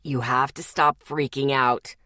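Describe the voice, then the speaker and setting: A woman voicing a calm, steady, protective young man who speaks low, soft and even. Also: unhurried, dry recording, studio quality, heavily acted. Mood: calm firm.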